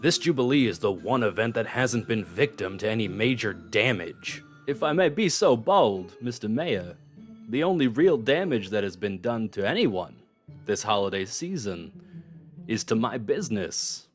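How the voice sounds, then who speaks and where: A man playing a formal, measured man of power in a superhero audio drama. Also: fairly low pitch, sing-song, soft background music. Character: formal, civic-minded men of power in a city.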